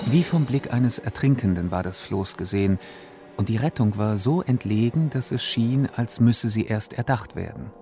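Mellow man reading literary prose. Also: lowish voice, normal speed, faint music behind. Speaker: reader of literary quotations.

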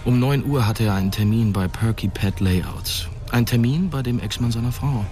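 A man's voice: medium pitch, moderate pace, mellow, faint music behind. A man giving scripted literary narration in a radio drama.

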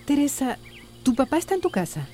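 A woman playing a patient young wife and gentle peacemaker with a Costa Rican accent, soft-spoken and hesitant, in a radio drama. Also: high-pitched, big pitch swings, fairly quick, soft background music.

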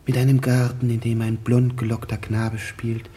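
An actor playing a wistful, self-pitying gangster with an earnest, plaintive manner in an old radio play. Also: male voice, mid-range voice, completely flat delivery, moderate pace, mellow, close to an everyday voice. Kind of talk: 1950s radio comedy-drama acting. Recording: old radio recording with noise.

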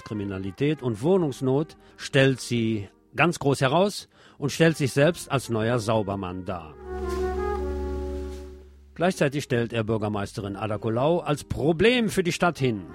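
Guy doing radio news commentary, medium pitch, wry and opinionated, bit of music behind. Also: lively intonation, a little slow. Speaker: radio presenter.

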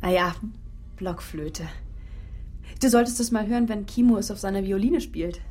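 An actress playing a young music student, an earnest, loyal and defiant daughter, in a naturalistic radio crime drama. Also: female voice, medium pitch, moderate pace, darkish tone, lightly acted. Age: young adult.

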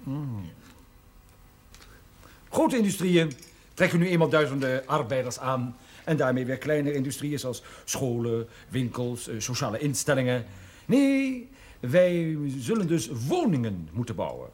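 An actor playing a courteous company administrator in a period television drama, formal, wordy and persuasive. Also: male voice, medium pitch, very animated, unhurried, darkish tone. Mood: earnest, persuasive. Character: courteous, smooth-talking land company administrator on business. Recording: faintly noisy old television soundtrack.

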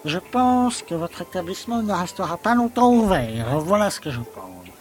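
A man as a high-voiced, pompous village mayor. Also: big pitch swings, normal speed. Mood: stern, scornful. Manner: pompous, threatening, gloomy. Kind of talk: audio drama acting.

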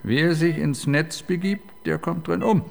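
An actor in a radio crime play voicing the detective's teasing, playful partner. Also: male voice, a little high, measured pace, creaky. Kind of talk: radio crime drama acting. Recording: radio play with faint music.

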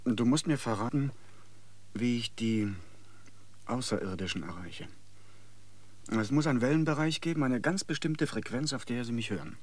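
A man's voice: medium pitch, normal speed, long pauses, close to an everyday voice. An actor playing an earnest, hot-tempered younger man who pleads and demands in a radio drama, stumbling when upset. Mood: earnest, insistent. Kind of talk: German radio drama acting.

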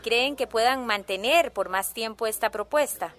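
An actress in a radio drama playing a formal, courteous television news reporter conducting an interview. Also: female voice, a little high, moderate pace, a bit bright, close to an everyday voice. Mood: professional, inquiring. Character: polite, professional female TV news reporter.

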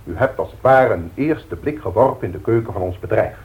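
An actor with a Dutch accent playing a formal, long-winded company director in a 1960s radio drama, speaking in measured, pompous speeches. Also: male voice, lowish voice, expressive. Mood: ceremonious. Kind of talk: 1960s radio drama acting, boardroom address. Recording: thin phone-like old radio recording.

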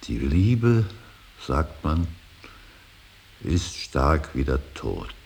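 An actor playing an older, grieving father in a radio drama, brooding and solemn, with halting, weighty declamation that often sinks into breathy whispers. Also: very deep voice, sing-song, a little mellow, heavily acted. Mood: hushed, musing. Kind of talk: German radio drama acting, solemn monologue. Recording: hissy, faintly noisy radio recording.

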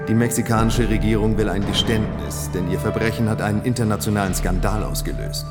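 An actor playing a skeptical, exasperated defence lawyer, formal and insistent, in a German radio drama. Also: male voice, fairly low pitch, steady tone, brisk, over loud music, close to an everyday voice.

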